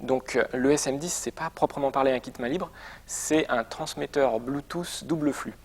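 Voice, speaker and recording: A man's voice: medium pitch, normal speed, husky. Man explaining gear conversationally. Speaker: product presenter for a motorcycle gear shop. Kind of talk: informal product demonstration, semi-improvised.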